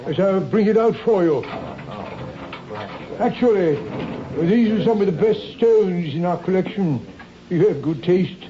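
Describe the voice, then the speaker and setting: Polite male salesclerk in old radio drama, slightly high voice, hesitant, muffled lofi sound. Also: a little slow, long pauses, background noise. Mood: polite, attentive. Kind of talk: 1970s radio drama acting. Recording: dull lo-fi old radio recording.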